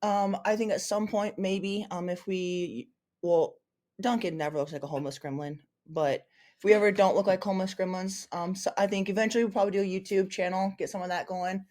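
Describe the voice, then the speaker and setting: A woman's voice: medium pitch, steady tone, brisk, a little mellow. A woman co-hosting a podcast in casual chat, rambling and circling back as she talks. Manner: rambling, full of ums and restarts. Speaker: podcast co-host.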